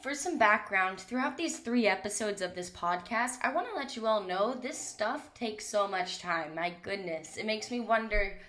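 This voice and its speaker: A woman's voice: medium pitch, expressive, moderate pace, few pauses, a bit bright. A young woman hosting her own podcast, talking candidly and casually with some swearing, her voice a little stuffy from a cold, recorded on a mic in a small closet. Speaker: podcast host. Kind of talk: solo podcast monologue.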